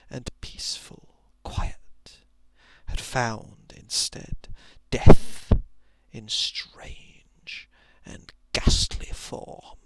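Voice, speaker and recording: Male ASMR narrator: very gravelly, slow and deliberate, soft-spoken with whispered dips, literary reading on a close mic. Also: mid-range voice, dry recording. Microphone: close microphone.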